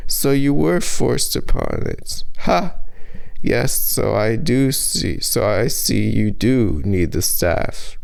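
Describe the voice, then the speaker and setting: A man voicing a sly, taunting sorceress in an audiobook, with formal, teasing, drawn-out phrasing. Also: on the low side, huge pitch swings, gravelly, full character voice, low-quality recording.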